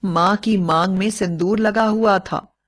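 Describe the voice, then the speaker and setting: A woman reading a scripted bedtime moral story in a measured, expressive storytelling way. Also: mid-range voice.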